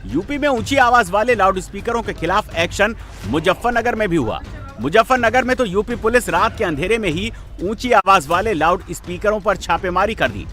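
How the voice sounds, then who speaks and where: A man's voice: high voice, animated, moderate pace, pushed and bright, soft background music. A man reading a dramatic scripted TV news voice-over in a punchy, emphatic way. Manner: punchy, emphatic, repetitive phrasing. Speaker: news reporter narrator.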